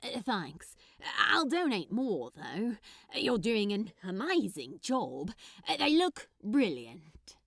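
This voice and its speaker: A woman voicing a tired, hesitant, dryly grumpy but soft-hearted man in a storybook reading. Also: medium pitch, very animated, takes it slowly, creaky, no room sound, heavily acted. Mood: shyly admiring. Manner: hesitant, mumbling, dry.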